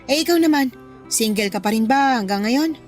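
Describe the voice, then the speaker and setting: Lively, high-pitched Filipina friend played by an actress. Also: female voice, normal speed, soft background music, close to an everyday voice. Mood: cheerful, teasing. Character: supportive, chatty, practical older female friend. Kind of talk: Filipino radio drama acting.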